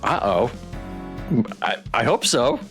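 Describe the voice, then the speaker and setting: A man with a mid-pitched voice hosting a game livestream. He is playful and very expressive, moving between chatty reactions and reading aloud, with soft music in the background. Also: takes it slowly. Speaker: streamer playing a visual novel.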